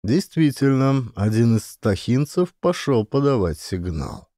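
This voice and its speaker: A man's voice: medium pitch, very animated, a little slow, dark tone, no room sound, studio quality. A man narrating an adventure audiobook dramatically, with terse, suspenseful storytelling.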